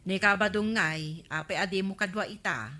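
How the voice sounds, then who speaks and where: A woman's voice: mid-range voice, moderate pace, bright, forceful tone, lightly acted. A woman playing a chatty, nosy village neighbour in an Ilocano radio comedy drama, with an Ilocano accent.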